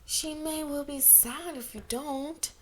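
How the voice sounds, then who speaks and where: A woman's voice: sits high, takes it slowly, a crowd in the background, voice pushed up. A woman voicing a teasing, pushy young female disciple in a story, chiding and cajoling.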